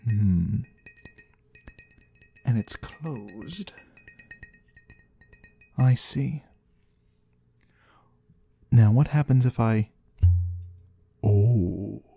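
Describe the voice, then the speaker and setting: A man's voice: on the low side, very slow, creaky, long pauses, mellow, breathy edge, soft background music, full character voice. A man playing a curious otherworldly trader in an ASMR roleplay, musing in quaintly formal words and savoring single ones close to the microphone. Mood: intrigued. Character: curious otherworldly male trader of the shadowy void. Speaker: ASMR performer. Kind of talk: ASMR roleplay, soft slow close speech to the listener. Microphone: close microphone, dry.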